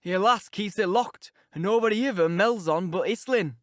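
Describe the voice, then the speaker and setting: A man's voice: sits high, normal speed, no echo, clean studio sound, close to an everyday voice. A man doing a scolding old Yorkshire servant. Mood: gruff. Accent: Yorkshire dialect. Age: older. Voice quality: broad rustic drawl. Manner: scolding and grumbling. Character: grumbling pious old male servant, scolding.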